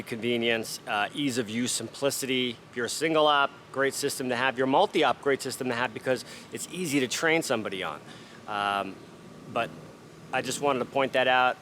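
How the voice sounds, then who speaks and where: A man's voice: mid-range voice, a little hiss or hum. A man casually reviewing DJ sound gear, chatty and upbeat with plenty of fillers, during a sound check. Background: loud music playing.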